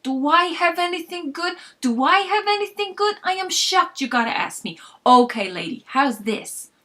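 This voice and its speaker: A woman's voice: very high-pitched, big pitch swings, normal speed, bright, forceful tone, voice pushed up, exaggerated voice. A woman voicing a small round New York bakery man, fond and theatrically despairing, in an audiobook-style reading. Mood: mock offense. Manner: exclamatory, mock-offended.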